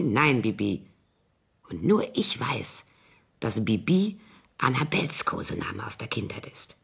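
Casting: a scheming, boozy old nanny, gravelly and raspy, theatrical and brooding, for a radio thriller. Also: female voice, extremely low pitch, heavily acted. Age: older. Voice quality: husky, creaky. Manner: brooding, halting, theatrical delivery dropping into whispers. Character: tipsy, scheming old former nanny writing a confession. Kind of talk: radio crime drama acting.

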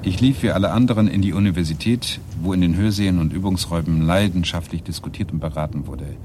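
Man acting earnest low-voiced guy in a radio play, a bit unsure of himself. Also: quick pace, darkish tone, a little hiss or hum, close to an everyday voice. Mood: reflective.

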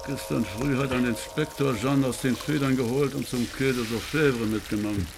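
An actor in a German radio play as an annoyed, blunt crook passing on orders in colloquial speech. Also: male voice, mid-range voice, normal speed, background noise, lightly acted. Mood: irritated. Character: gruff male crook, annoyed henchman giving orders.

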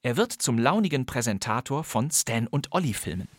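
A man's voice: medium pitch, very animated, normal speed. A man hosting a radio podcast, reading a script with wry, ironic commentary in a studio. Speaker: podcast host.

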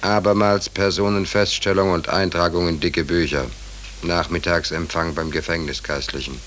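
A man's voice: low-pitched, fairly even intonation, moderate pace, fairly bright tone, soft background music. A man playing a hapless, naively polite drifting sailor in a 1940s German radio drama, in a rambling, deferential manner. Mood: deadpan. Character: hapless, naively polite stateless sailor, wryly shrugging off trouble. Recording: noisy, hissy 1940s radio recording.

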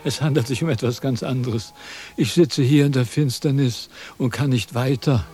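An actor playing a vain, fearful wandering philosopher in a radio play, plaintive and theatrical. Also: male voice, mid-range voice, normal speed, mellow, natural voice. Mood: pleading, aggrieved.

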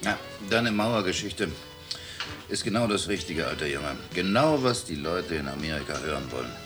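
A cynical, worldly British journalist with a very deep voice. He talks in a sardonic, lecturing way. Music is clearly heard beneath him. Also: lively intonation, normal speed. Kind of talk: 1980s radio play acting. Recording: music underneath.

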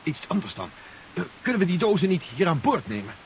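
An actor playing an urgent, commanding general who gives clipped orders in a 1970s Dutch sci-fi radio play. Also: male voice, fairly high pitch, big pitch swings, fairly quick. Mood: tense, deliberating. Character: urgent, commanding male general leading a tense mission. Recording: dull, hissy 1970s radio recording.

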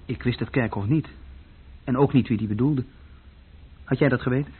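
A man's voice: mid-range voice, normal speed, breathy edge. An actor playing a troubled, plain-spoken young German man who recounts his past in short matter-of-fact sentences in a radio play. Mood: matter-of-fact, reflective. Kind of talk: radio play first-person narration. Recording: dull lo-fi old radio play recording.

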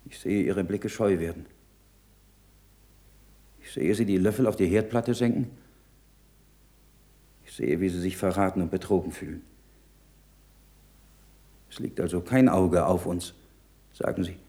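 An actor playing an earnest, troubled learned monk in a radio play, speaking in a measured, solemn, rhetorical way. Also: male voice, deep voice, steady tone, dark tone. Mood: sorrowful. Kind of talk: German radio play acting.

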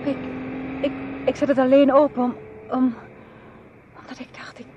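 An actress playing a frightened, drugged young woman in a radio play, halting and repeating her words. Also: little pitch movement, highs cut off, close to an everyday voice. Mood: drowsy, confused. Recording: noisy radio drama with car rumble.

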